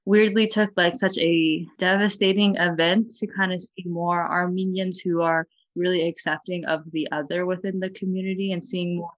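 A woman's voice: lowish voice, little pitch movement, moderate pace, hardly any pauses, no room sound, rough recording. A young woman from California talking in a casual, earnest way, with a lot of "like" fillers. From California, USA. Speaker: guest. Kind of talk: casual conversation.

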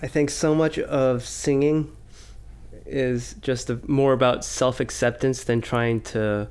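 A man's voice: mid-range voice, fairly even intonation, slow, darkish tone, clear, resonant tone. A man co-hosting a podcast in casual chat, easygoing and reflective. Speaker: podcast co-host.

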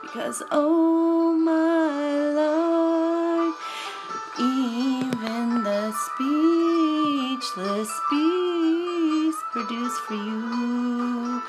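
A woman's voice: high voice, pitched above the performer's own voice. Woman reading as a grieving childless wife praying. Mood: lamenting. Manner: slow, lamenting, hushed. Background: music.

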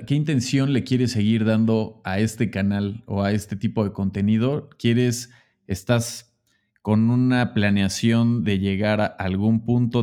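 A man interviewing a guest in a casual chat, reflective and hesitant, pausing to search for words. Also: fairly low pitch, dark tone. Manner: hesitant, with many fillers and restarts, reflective.

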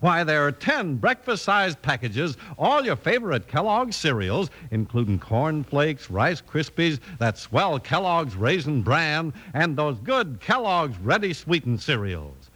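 A man's voice: fairly high pitch, big pitch swings, measured pace, few pauses, bright, forceful tone. A man announcing in a polished, salesman-like 1950s radio style. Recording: vintage radio broadcast recording.

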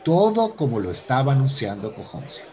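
A man's voice: medium pitch, big pitch swings, brisk, a little mellow, some chatter behind. A man hosting and narrating a radio soap opera from a script in a theatrical presenter's manner. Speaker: host and narrator.

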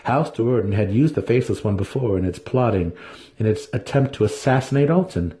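A man's voice: fairly low pitch, animated, fairly quick, mellow. A man giving a dramatic reading of fantasy fiction as an audiobook narrator. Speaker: audiobook reader.

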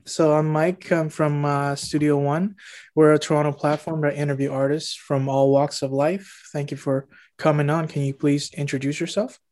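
A man's voice: a little high, fairly even intonation, normal speed, a little mellow. A man hosting an interview, asking questions in an easygoing way with small fillers. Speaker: interview host. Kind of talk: casual interview.

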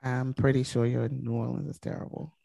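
A woman's voice: rumbling low, fairly even intonation, brisk, gravelly, dark tone, husky. A woman co-hosting a comedy podcast, crass and often laughing through her words. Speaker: podcast co-host. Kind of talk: casual comedic chat.

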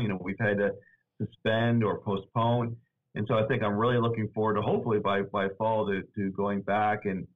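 A middle-aged man from Nova Scotia with a Canadian accent, a university provost chatting as a podcast guest, halting with many fillers and restarts. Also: lowish voice. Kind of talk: casual podcast interview. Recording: tinny phone-like line.

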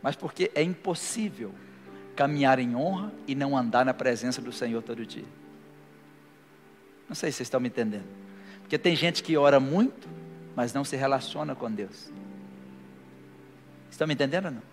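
A man's voice: mid-range voice, lively intonation, brisk, pauses often. A male pastor preaching a sermon to a live congregation and leading a prayer, his delivery rising to fervent declarations. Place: church hall, live before a congregation. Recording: long hall reverb with faint music.

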